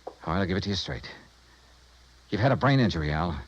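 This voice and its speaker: An actor playing a tough, blunt insurance investigator with an American accent, brisk and forceful, in a 1950s radio noir drama. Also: male voice, low voice, lively intonation, highs cut off. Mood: blunt, urgent. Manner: brisk, forceful, plain-spoken. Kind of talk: 1950s radio noir acting, hard-boiled.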